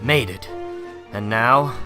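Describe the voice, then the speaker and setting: Guy playing young superhero, earnest n cocky, urgent shouty lines, comic drama w music behind. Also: mid-range voice, slow pace, close to an everyday voice. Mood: determined. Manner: urgent, exclamatory. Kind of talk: dramatic comic book reading.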